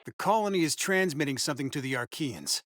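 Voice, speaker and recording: An actor playing a steady, encouraging military operative, giving clipped, commanding mission callouts for a video game. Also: male voice, a little high, fairly quick. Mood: grave, focused.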